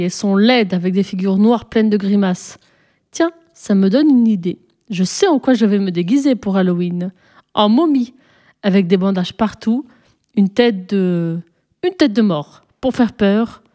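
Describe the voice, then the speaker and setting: A woman's voice: mid-range voice, dark tone, close to an everyday voice. A woman voicing a cheeky, impulsive schoolboy in a storybook, with blunt, childish exclamations. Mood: grumpy, fed up, then gleeful. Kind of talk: storybook reading, character dialogue.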